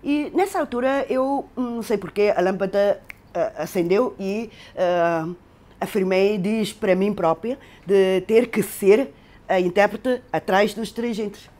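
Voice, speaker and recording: A woman's voice: mid-range voice, unhurried, darkish tone. A middle-aged woman from Macau, an interpreter telling her story in an interview, hesitant with many fillers. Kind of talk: interview recollection.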